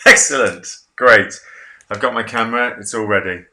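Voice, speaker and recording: A man's voice: lowish voice, moderate pace, pushed and bright, a little hiss or hum. A man in his thirties hosting a casual video-call interview from a home office, chatty, jokey and self-deprecating. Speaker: interview host.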